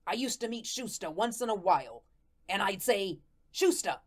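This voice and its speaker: A woman's voice: medium pitch, expressive, moderate pace, lightly acted. Woman playing a naive, slangy good-natured ghost fellow. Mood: wistful, earnest. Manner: folksy, colloquial, guileless storytelling. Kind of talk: first-person radio-play narration.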